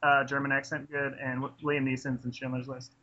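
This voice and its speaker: A man's voice: mid-range voice, little pitch movement, a little fast, sharp tone. A man casually reviewing a film in conversation, enthusiastic and rambling, often restarting his sentences. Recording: dull lo-fi podcast audio.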